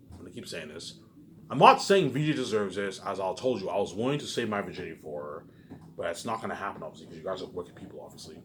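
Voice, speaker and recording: Low-pitched man, rambling over background noise. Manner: repetitive, with emphatic bursts trailing into muttered tags; frequent swearing. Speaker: vlogger. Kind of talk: rambling casual monologue. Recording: clearly noisy background.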